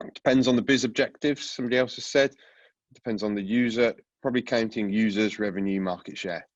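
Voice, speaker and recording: Congested man with a low voice. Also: a little fast, no echo, muffled recording. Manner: relaxed, many fillers and restarts. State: congested from a cold. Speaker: product coach giving a webinar talk. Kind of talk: presenting a webinar. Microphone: video call.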